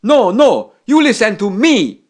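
A woman voicing an angry older male newspaper boss who keeps shouting, in a fan audio drama. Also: on the high side, very animated, unhurried, voice pushed up. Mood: furious. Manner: blustering, shouting.

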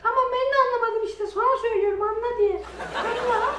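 A young man voicing an exasperated cartoon ice princess in a thin falsetto. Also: fairly even intonation, fast talker, fairly bright tone. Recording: echoey room, faint background noise.

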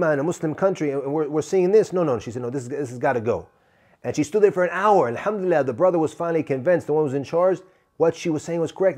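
A middle-aged male preacher delivering an emphatic reminder at a brisk clip. His voice sits a little high, and he works Arabic phrases and quotations into his speech. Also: darkish tone. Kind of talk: religious reminder talk.

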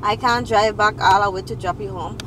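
A woman's voice: mid-range voice, fairly even intonation, a little fast. A woman, chatting and bickering casually. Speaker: family prank vlogger. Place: inside a car. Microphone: camera on the dashboard. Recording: noisy car with outside ambience.

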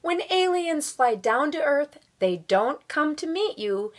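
A middle-aged woman reading a children's picture book aloud at home with expressive, drawn-out storytelling delivery. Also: high-pitched, background noise. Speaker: volunteer guest story reader. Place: small room at home.

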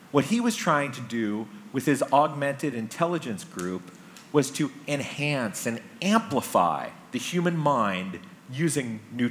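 A middle-aged man delivers a prepared conference talk, telling a story in deliberate, emphatic phrases with pauses for effect. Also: medium pitch, a bit bright. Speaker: conference speaker. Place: large conference hall stage. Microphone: headset microphone. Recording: stage talk with background noise.

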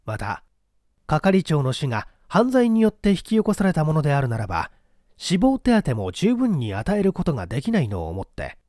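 A man's voice: a little high, huge pitch swings, normal speed, warm tone, no echo, clean studio sound. A man reading a mystery story aloud as a dramatic, scripted narration. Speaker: audiobook reader.

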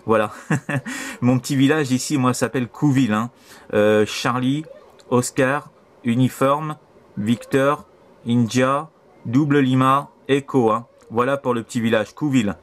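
A man's voice: mid-range voice, steady tone, unhurried. A man from France with a French accent, chatting casually and repetitively as an amateur radio operator over a transceiver. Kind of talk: casual radio contact chat. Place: voice over CB shortwave radio link.

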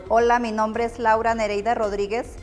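Middle aged mexican woman, pretty monotone, grateful, music behind. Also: fairly high pitch, normal speed. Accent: Mexican Spanish. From Michoacán, Mexico. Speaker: company testimonial speaker. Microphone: lapel mic.

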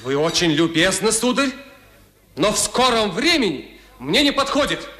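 An actor in a 1970s radio play playing a proud, hot-headed young gentleman with a formal, insistent way of speaking. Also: sits high, sing-song, moderate pace, heavily acted. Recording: faintly noisy 1970s radio recording.

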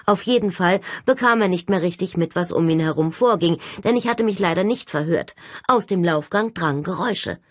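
Slightly low, husky woman narrating an audiobook. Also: fairly quick, dry recording, studio quality. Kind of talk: scripted audiobook narration, first person.

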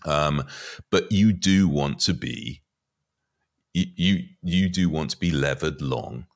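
A middle-aged man giving conversational market commentary as a guest, often halting and repeating words as he thinks. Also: a bass voice, creaky. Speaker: podcast guest, finance commentator. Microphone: podcast mic on boom arm.

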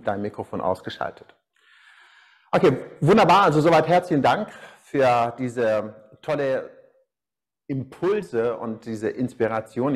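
A middle-aged man from Iran, speaking as a coach in an online seminar and telling personal stories. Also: medium pitch. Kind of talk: live-stream seminar talk, personal storytelling. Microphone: small lapel mic.

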